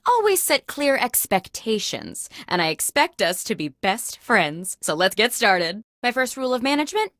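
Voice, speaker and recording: A woman's voice: fairly high pitch, no room sound, clean studio sound. A woman playing a peppy, quirky office boss who hosts a comedic video game with mock-corporate patter. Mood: upbeat. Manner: animated, mock-corporate comic delivery. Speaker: video game host character. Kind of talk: scripted comedic game show hosting.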